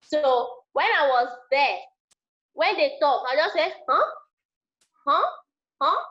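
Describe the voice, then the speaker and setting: High-voiced Nigerian woman, lo-fi call. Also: takes it slowly. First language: Yoruba. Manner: animated, repeats herself for emphasis. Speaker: guest speaker, teacher. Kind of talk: casual explaining to a class. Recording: dull lo-fi video call audio.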